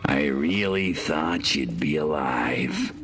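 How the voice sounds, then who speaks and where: A man voicing a cocky, wisecracking, vengeful alien invader in a video game, with a gravelly, sardonic, menacing delivery. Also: rumbling low, slow pace, fairly bright tone, faint music behind, full character voice. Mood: grieving, indignant. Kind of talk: video game cutscene acting.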